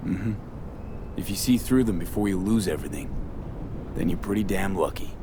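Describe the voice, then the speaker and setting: Guy doing weary cyberpunk merc, really deep voice, clipped and wry, vehicle noise in the back. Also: normal speed, close to an everyday voice. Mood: resolute. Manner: terse, clipped, understated. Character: world-weary young male cyberpunk mercenary, terse, wry, sincere. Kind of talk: naturalistic video game acting.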